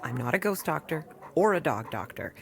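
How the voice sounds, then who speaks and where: An actress playing a weary, dry, no-nonsense rural doctor in an audio drama. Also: female voice, low voice, moderate pace, creaky, soft background music, heavily acted. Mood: weary, curt. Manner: dry, deadpan. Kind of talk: audio drama acting with recorded diary narration.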